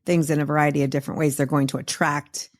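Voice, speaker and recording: A woman speaks as the host of an interview in a slightly low register. Her delivery is conversational and reflective. She is agreeable, building on the points being made. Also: flat delivery, quick pace.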